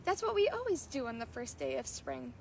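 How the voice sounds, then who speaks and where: A woman's voice: high-pitched, big pitch swings, a little fast, gravelly, darkish tone, a little hiss or hum, full character voice. A woman voicing a kindly, affectionate, cheery aunt in a fairy-tale storybook reading. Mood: matter-of-fact. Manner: exclaiming, affectionate.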